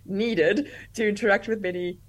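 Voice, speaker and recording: A woman's voice: medium pitch, fairly even intonation, moderate pace. A young woman, a guest chatting casually in an interview, full of "like" fillers and easy laughter. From Russia. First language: Russian.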